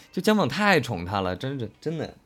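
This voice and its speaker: Animated, effusive man chatting over faint music. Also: medium pitch, normal speed. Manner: effusive, rambling, repeats himself for emphasis. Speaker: voice actor co-hosting a fan talk. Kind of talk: casual chat about an audio drama.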